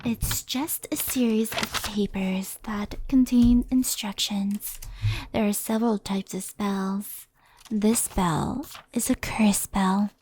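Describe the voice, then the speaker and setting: A woman playing a gentle, mischievous fox spirit in an ASMR roleplay, speaking softly and intimately, often in a whisper, like a reassuring mentor. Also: mid-range voice, a little hiss or hum, natural voice, low-quality recording.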